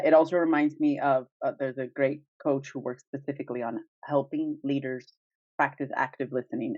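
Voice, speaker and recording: A woman co-hosting a podcast in casual chat, with an animated, exclamatory way of talking. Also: sits low, no room sound, lo-fi sound. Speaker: podcast co-host.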